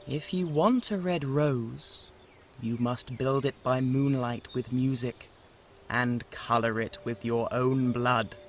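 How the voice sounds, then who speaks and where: A man's voice: mid-range voice, fairly even intonation, slow pace, a little hiss or hum, voice pushed up. A man voicing a grave, reluctant red rose tree in a storybook, solemn and sorrowful. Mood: solemn, foreboding.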